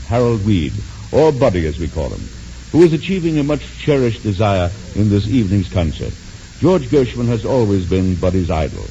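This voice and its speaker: A man's voice: fairly low pitch, mellow, lo-fi sound, slight background noise. A man formally introducing a concert in stately phrases, as a 1940s radio announcer on an old recording.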